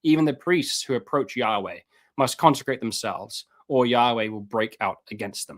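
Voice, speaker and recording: A man on a live stream reading Bible passages aloud and commenting on them, with casual asides and his voice going hoarse. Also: normal speed. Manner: reads scripture aloud then comments, rises in pitch when fervent; casual asides, repeats words, clears throat. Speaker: streamer teaching on scripture.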